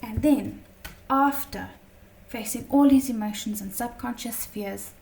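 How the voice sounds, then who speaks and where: Chatty woman teaching tarot, slightly croaky. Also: a little high, measured pace, darkish tone, recorded from a distance, poor audio. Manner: chatty, many fillers and "okay?" check-ins. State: slightly croaky from talking all day. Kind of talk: casual teaching lesson.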